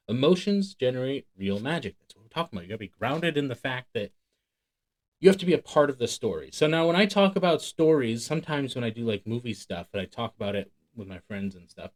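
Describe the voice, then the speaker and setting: A man hosting a channel, reading a book aloud and breaking off into casual, rambling, emphatic commentary. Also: mid-range voice. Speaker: host of a magic channel.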